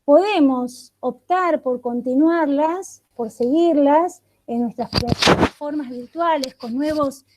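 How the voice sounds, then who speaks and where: A woman leading an online catechesis workshop over a video call, speaking reflectively and posing one question after another with thoughtful pauses. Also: sits high, a little mellow, poor audio.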